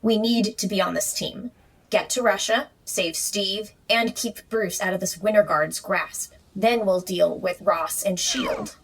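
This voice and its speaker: A woman's voice: medium pitch, measured pace, a little hiss or hum, close to an everyday voice, rough recording. An actress playing a determined young female superhero, speaking urgently to her team.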